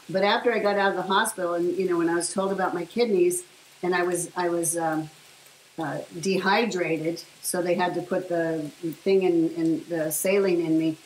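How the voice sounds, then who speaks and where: A middle-aged woman chatting casually to the camera while she cooks, rambling with plenty of fillers. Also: fairly low pitch. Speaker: vlogger sharing her diet. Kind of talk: casual chat while cooking. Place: home kitchen, indoors. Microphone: camera on the counter at a short distance. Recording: echoey kitchen with faint hiss. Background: food sizzling in a pan.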